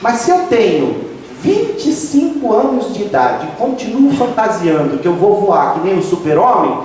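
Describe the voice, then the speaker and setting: Pastor booming in a hall. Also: male voice, very animated, normal speed, a little mellow, a little hiss or hum. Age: 50s. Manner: emphatic, drawing out key phrases and rising to exclamations. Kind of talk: sermon. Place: church sanctuary. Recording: echoey hall from a distant mic.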